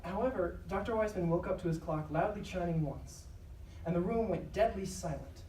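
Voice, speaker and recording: A woman's voice: lowish voice, moderate pace, mellow, slight background noise. A woman narrating a scripted live show in a casual, conversational way with wry asides. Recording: echoey live stage, distant, music behind.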